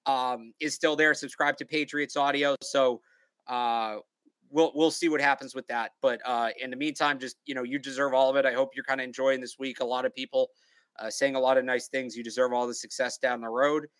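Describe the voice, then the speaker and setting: A young man co-hosting a sports podcast in casual chat, halting and full of fillers and restarts. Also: medium pitch, steady tone, a bit bright, clear, resonant tone, no echo. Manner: halting, filler-heavy, repeats words. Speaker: podcast co-host.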